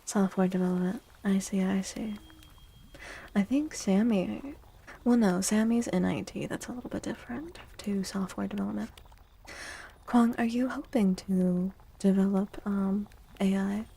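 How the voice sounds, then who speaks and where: Female ASMR livestream host: hushed, mellow, breathy delivery with gentle, soothing manner and frequent whispering. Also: mid-range voice, unhurried. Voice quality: breathy, often dropping into a whisper. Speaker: livestreamer hosting an ASMR stream. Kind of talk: soft-spoken ASMR, chatting with viewers. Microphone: close microphone.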